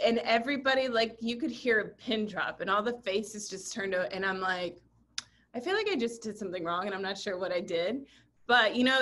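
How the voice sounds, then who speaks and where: A woman's voice: medium pitch, bright, forceful tone. A woman from Puerto Rico speaking earnestly on a video call panel, with frequent hesitant fillers.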